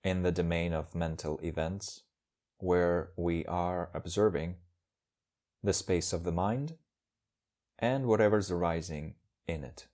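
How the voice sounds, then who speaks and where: Man, meditation teacher, low voice, calm measured delivery, pauses between short phrases. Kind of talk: guided meditation instruction.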